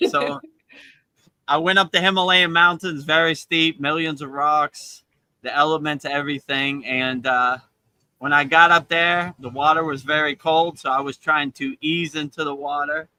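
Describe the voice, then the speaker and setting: A man's voice: a little high, fairly even intonation, normal speed, pushed and bright, rough recording. A man from Miami, Florida, hosting a live spiritual talk and telling stories in a chatty way, dropping in "you know" often and laughing between points. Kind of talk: casual spiritual storytelling. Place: outdoors in Thailand.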